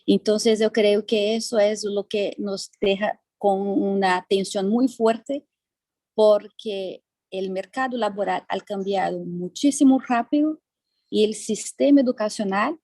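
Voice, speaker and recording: A woman's voice: medium pitch, unhurried, dark tone, no echo. A woman from Brazil with a Brazilian Portuguese accent, speaking as a panelist and often repeating words while she searches for them. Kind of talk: panel discussion.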